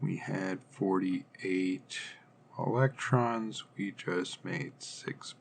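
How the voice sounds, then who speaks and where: A man's voice: deep voice, fairly even intonation, extremely slow, gravelly, slight background noise. A man teaching chemistry in an informal, step-by-step lecture style, methodically thinking aloud as he works through things.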